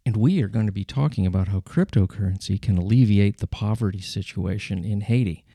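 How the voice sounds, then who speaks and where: Podcast host, man; low, warm voice, dry studio sound, easygoing. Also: a little fast, dry recording. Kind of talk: podcast intro and interviewing.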